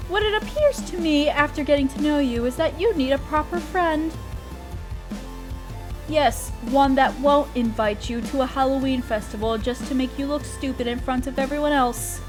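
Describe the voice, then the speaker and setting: Woman acting a high-pitched, playful, theatrical witch. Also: moderate pace, music in the background, lightly acted. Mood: kind, reassuring. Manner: theatrical, coy, old-fashioned endearments. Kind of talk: scripted roleplay audio drama.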